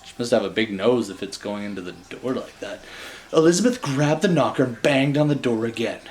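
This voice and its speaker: A man reading a children's bedtime story in an animated style, with wry, joking casual asides. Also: mid-range voice, fairly quick, a little hiss or hum.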